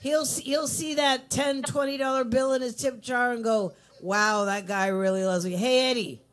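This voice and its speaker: A woman with a low voice hosting a live open mic in a coffee bar, teasing and hyping the crowd. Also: steady tone, normal speed. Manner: playful, teasing, hyped-up announcing. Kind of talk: live emcee patter.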